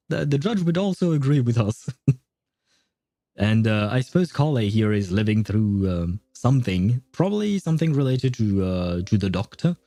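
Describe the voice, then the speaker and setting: A man's voice: mid-range voice, dark tone, dry recording. A man doing casual livestream game commentary, jokey and rambling as he thinks aloud into a close mic.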